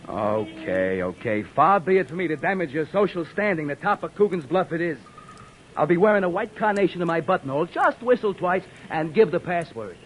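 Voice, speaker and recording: An actor playing a weary beat cop who narrates and trades dry wisecracks in an old-time radio drama. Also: male voice, on the high side, animated, brisk, highs cut off, a little hiss or hum, close to an everyday voice. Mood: dry, wry. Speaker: narrator and police officer. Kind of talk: 1940s radio acting.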